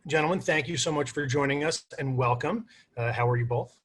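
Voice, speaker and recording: A man's voice: on the high side, brisk, fairly bright tone. A man moderating a panel on a video call, introducing the guests in a friendly way with frequent hesitations. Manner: friendly, with many "uh" fillers.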